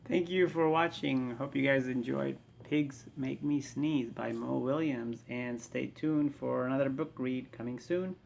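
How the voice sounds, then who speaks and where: A woman hosting a children's book channel, giving a quick, friendly sign-off to viewers. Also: very deep voice, vocal fry, a little mellow, slight background noise. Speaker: channel host signing off. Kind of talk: casual video sign-off.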